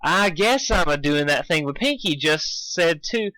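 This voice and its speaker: Man doing a sleepy female cartoon farm pony, folksy drawl, slurred, slow, grumpy, raised pitch. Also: lively intonation, pushed and bright, dry recording, poor audio. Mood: weary.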